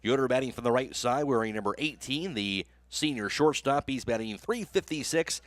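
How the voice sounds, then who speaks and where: A man's voice: mid-range voice, animated, normal speed, no room sound. An American man working as a radio announcer, speaking in a broadcast style into a close microphone. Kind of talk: live baseball play-by-play commentary.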